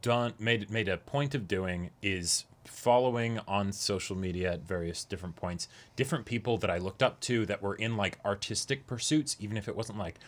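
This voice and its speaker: A man's voice: fairly low pitch, normal speed, hardly any pauses. A young man co-hosting a show, chatting casually and reflectively in conversation. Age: young adult. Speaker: reaction show co-host. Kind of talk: casual chat, reflective commentary. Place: small indoor recording setup, seated before a backdrop.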